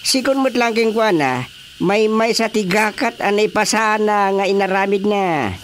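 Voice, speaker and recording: A woman playing an opinionated grumbler in a radio drama, with a Filipino accent and an emphatic, drawn-out delivery. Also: medium pitch, slight background noise, close to an everyday voice. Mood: mocking. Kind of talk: Ilocano radio drama dialogue.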